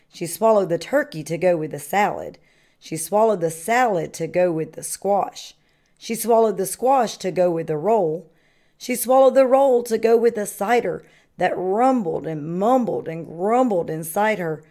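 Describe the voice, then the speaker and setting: Slow-paced woman reading a children's picture book. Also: mid-range voice. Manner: expressive sing-song reading, slow and deliberate. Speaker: kindergarten teacher reading aloud for children.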